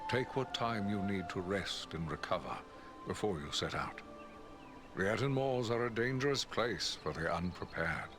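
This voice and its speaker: A man plays a wise old wizard in a fantasy game. The voice is lowish and swings widely in pitch, commanding and measured, with theatrical weight over a clear music score. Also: lively intonation, natural voice. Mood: concerned, counselling. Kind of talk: dramatic fantasy game acting.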